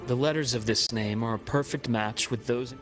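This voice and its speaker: A man voicing a composed, analytical investigator in a game, speaking in a measured, explanatory way. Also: mid-range voice, music in the background, close to an everyday voice. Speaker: game character. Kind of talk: game cutscene voice acting.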